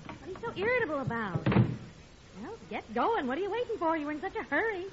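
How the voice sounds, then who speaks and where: A woman's voice: very high-pitched, big pitch swings, quick pace. An actress playing a sensible, exasperated wife and mother, scolding emphatically in an old radio sitcom. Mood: brisk, nagging. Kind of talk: 1940s-50s radio sitcom acting. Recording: dull, hissy old radio recording, audience.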